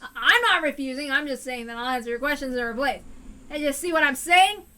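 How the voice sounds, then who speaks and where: Woman acting out an abusive middle-aged bully, belligerent, loud, high-pitched, sharp, storybook reading. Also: steady tone, a little fast, close to an everyday voice. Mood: defensive. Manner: belligerent, shouting, sneering.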